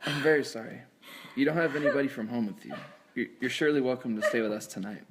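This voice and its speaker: A medium-pitched, sober voice from a man acting an earnest eye surgeon in an amateur film. He is grave and measured with his patients. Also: heavy background noise, close to an everyday voice. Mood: regretful. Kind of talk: amateur scripted film dubbing.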